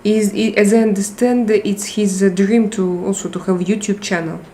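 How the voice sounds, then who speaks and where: A middle-aged woman with a Russian accent chatting casually on a live stream, halting and restarting often as she searches for words. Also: mid-range voice, steady tone, dark tone, a little hiss or hum. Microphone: tablet microphone.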